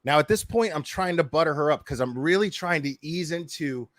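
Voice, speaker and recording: A man's voice: on the high side, lively intonation, fairly quick, fairly bright tone, dry recording, clean studio sound. A man giving casual, sweary reaction commentary on his own prank, repeating phrases for emphasis. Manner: profane, informal, emphatic repetition.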